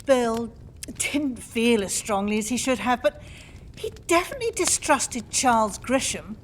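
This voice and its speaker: A woman's voice: fairly high pitch, animated, a little slow, slight background noise. A woman playing a suspicious, accusing lady in a period mystery audio play. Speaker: actor in audio drama.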